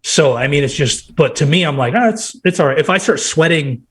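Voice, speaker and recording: Man, 30s, nasal, husky and raspy, rambling casual storyteller, podcast guest. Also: on the high side, animated, a little fast, a bit bright. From Nebraska. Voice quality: nasal and hoarse. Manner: rambling, quotes himself in bursts. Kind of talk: casual chat.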